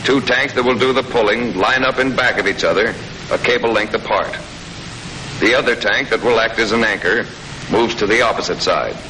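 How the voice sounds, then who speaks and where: An American man reading scripted 1940s military training film narration in a clear, matter-of-fact, instructive manner. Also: medium pitch, sharp tone. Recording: noisy old 1940s film soundtrack.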